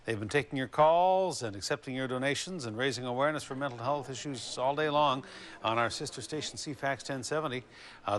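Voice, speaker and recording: A man anchors a local newscast. His voice sits at a middle pitch as he delivers reports and puts questions to guests. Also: a bit bright. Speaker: news anchor. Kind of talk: live local TV news presenting and interviewing.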